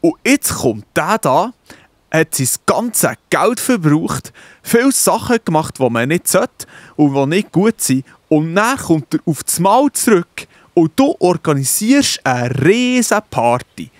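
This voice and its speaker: Resentful Swiss German son, lively pitch. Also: male voice, slow pace, dry recording. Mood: indignant, jealous. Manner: indignant, complaining. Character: jealous, resentful, hardworking older son on farm. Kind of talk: children's Bible radio play acting.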